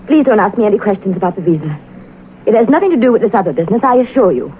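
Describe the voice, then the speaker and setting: An actress playing an anxious, evasive widow with a secret scheme, pleading in earnest 1940s radio melodrama. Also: female voice, medium pitch, very animated, very fast, a little hiss or hum, natural voice. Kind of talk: 1940s radio drama acting. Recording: dull lo-fi old radio recording.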